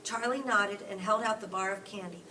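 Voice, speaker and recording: A woman reading a children's book aloud in an expressive, chatty storyteller style. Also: medium pitch, a little fast. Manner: prompting with questions. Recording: distant mic, clearly noisy room.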